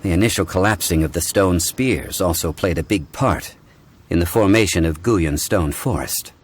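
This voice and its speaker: Man playing a deep-voiced, dignified learned gentleman. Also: a little hiss or hum, lightly acted. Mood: calm, lecturing. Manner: measured, formal, explanatory. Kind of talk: video game voice acting.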